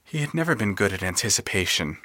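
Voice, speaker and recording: A man's voice: fairly low pitch, expressive, fast, heavy background noise. A man narrating scripted literary fiction in a dramatic, wry storytelling manner. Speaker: narrator of an audio fiction podcast.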